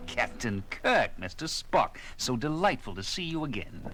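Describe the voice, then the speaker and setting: A man's voice: mid-range voice, very animated, slow, fairly bright tone. A man playing an oily, hot-tempered alien ambassador with pompous, falsely courteous manners in a sci-fi audio drama. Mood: smug, sneering. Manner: pompous, unctuous.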